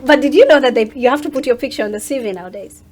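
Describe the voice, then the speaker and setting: Ugandan lady guest on a chat show, high voice, says it straight and punchy. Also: racing, background noise. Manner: outspoken, emphatic. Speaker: interview guest. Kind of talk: animated casual interview talk.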